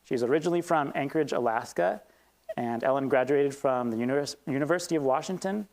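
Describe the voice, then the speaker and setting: A man's voice: mid-range voice, quick pace, gravelly, darkish tone. A man hosting a community event, reading a prepared statement, then introducing the speaker with a few ums. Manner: reads steadily, then loosens with ums. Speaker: event host. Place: event hall with audience.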